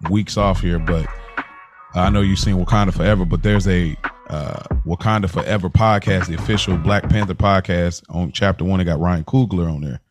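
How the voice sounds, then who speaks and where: A man's voice: low-pitched, steady tone, normal speed, over music. An American man co-hosting a podcast in casual, slangy chat, often circling back and rephrasing as he goes. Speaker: podcast co-host.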